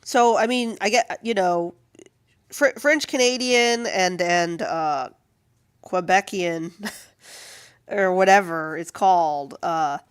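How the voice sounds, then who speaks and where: Woman, clear smooth voice, dry close sound, casual rambling livestream chat, amused, animated delivery. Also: on the low side, takes it slowly, dry recording. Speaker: comic artist streaming while drawing.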